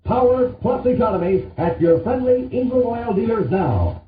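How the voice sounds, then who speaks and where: A man's voice: very high-pitched, big pitch swings, normal speed, a little hiss or hum. A man selling in the style of an old-time radio advertisement announcer. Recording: muffled vintage radio, roomy, distant.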